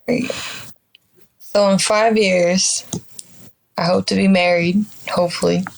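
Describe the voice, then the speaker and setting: A woman's voice: medium pitch, little pitch movement, takes it slowly, gravelly, rough recording. A woman in her twenties, a podcast guest, talking in a reflective, upbeat, casual way with many fillers. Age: 20s. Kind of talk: casual interview chat.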